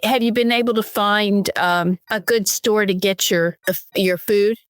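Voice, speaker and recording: A woman's voice: mid-range voice, steady tone, moderate pace, no room sound, studio quality. A woman hosting a casual interview, friendly and rambling, often restarting her sentences. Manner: friendly, rambling, self-correcting. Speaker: podcast host.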